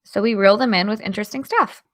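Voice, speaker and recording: A woman's voice: mid-range voice, quick pace, a bit bright, close to an everyday voice. An actress playing an earnest, studious teenage girl with a chatty, eager, slightly awkward manner in an audio drama. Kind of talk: naturalistic audio drama acting.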